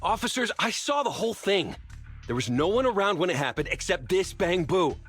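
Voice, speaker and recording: A man playing an indignant, accusing delivery truck driver in a video game, with a husky voice. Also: high voice, animated, brisk, a bit bright, faint music behind, exaggerated voice. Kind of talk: video game character acting.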